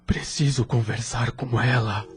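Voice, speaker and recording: A man's voice: medium pitch, steady tone, measured pace, breathy edge, soft background music. A man playing a devoted, grieving widower in an audio drama, earnest and emotional in his delivery. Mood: subdued sorrow. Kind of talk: melodramatic audio drama acting.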